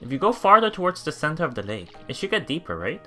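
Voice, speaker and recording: Casting: excitable let's play streamer, bright voice, very animated intonation, casual read-aloud narration. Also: medium pitch, fast, faint music behind. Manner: excitable, repeats words and phrases. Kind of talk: reading game text aloud with casual reactions.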